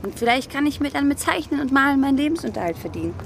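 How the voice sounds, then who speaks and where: A woman's voice: sits high, lively intonation, rapid-fire, gravelly, a little hiss or hum. An actress playing an earnest, outspoken schoolgirl from a well-off family in a German radio drama, speaking formally and emphatically. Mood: earnest, confiding. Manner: formal address, probing, emphatic.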